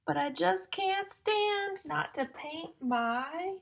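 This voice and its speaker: A woman reading a picture book aloud to young children in a sing-song, exaggerated way, pausing to ask and explain like a teacher. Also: extremely high pitch, animated, vocal fry. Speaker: children's story-time reader and teacher.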